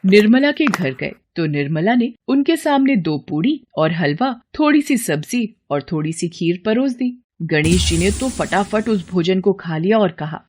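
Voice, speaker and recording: Woman narrator, medium-pitched storytelling voice. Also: expressive, a little slow, few pauses, a little mellow.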